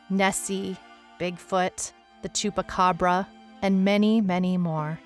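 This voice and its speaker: Wry female detective played by an actress, mid-pitched, dry first-person storyteller for an audio mystery drama, music underneath. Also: natural voice. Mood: reflective. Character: wry female detective recounting a strange monster case.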